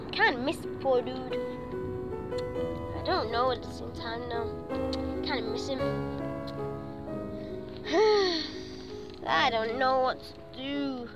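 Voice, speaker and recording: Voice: high-pitched, expressive, slow, long pauses, loud music behind the voice. A puppeteer dramatically acting out several characters in an improvised puppet show.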